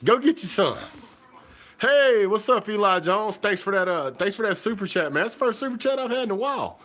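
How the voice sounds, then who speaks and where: A man, chatting casually to viewers on a livestream while stuffy and hoarse from a cold. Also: high-pitched, animated, a little fast, fairly bright tone. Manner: rambling, folksy, talks to viewers directly. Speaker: livestream host. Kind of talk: casual livestream chat about football. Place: home kitchen.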